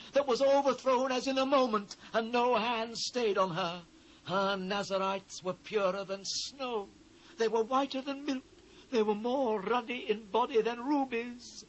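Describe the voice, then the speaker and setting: Anguished biblical prophet, slow solemn declamation, impassioned, dramatised scripture, faint cassette noise. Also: male voice, very high-pitched, a bit bright, heavily acted, low-quality recording. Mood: mournful. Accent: British. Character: anguished male biblical prophet lamenting his ruined people.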